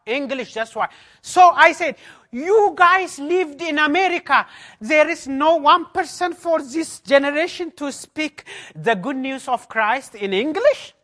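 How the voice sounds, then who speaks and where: A man's voice: very high voice, animated, measured pace, pushed and bright. A man pastor from Ethiopia with an Ethiopian accent, giving an emphatic personal testimony as a conference talk in non-native English.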